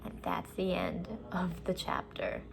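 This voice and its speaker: A woman reading a children's story aloud, with expressive, deliberate and dramatic narration. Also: on the low side, creaky, slight background noise.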